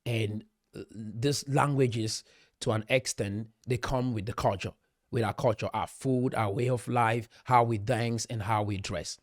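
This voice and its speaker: A man's voice: mid-range voice, fairly even intonation, measured pace, no room sound, clean studio sound. A middle-aged Cameroonian man with a Cameroonian accent, speaking emphatically to camera and often asking rhetorical questions. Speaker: commentator. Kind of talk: direct-to-camera monologue.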